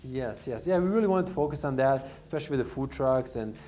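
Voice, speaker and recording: Fast-talking man from Serbia. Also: mid-range voice, animated, mellow, faint music behind. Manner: rambling, many fillers and restarts. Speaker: restaurant owner. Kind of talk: casual interview answers.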